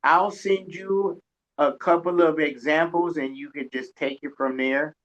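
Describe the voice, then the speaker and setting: A man's voice: on the high side, steady tone, a little slow, a bit bright. A man co-hosting a radio show, chatting casually and telling anecdotes in a rambling, folksy way. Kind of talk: casual conversational interview, anecdotes. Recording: dull, lo-fi audio.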